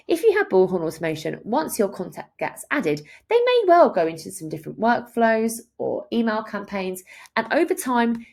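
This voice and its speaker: A woman in her thirties giving an explanatory, step-by-step software walkthrough as a tutorial presenter. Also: medium pitch, vocal fry. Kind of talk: instructional screen-share walkthrough.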